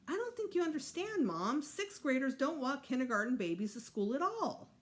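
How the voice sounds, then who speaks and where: A woman plays a put-upon sixth-grade boy from a children's storybook. He protests with exasperation and indignation, his pitch jumping about. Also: very animated, normal speed. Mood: protesting.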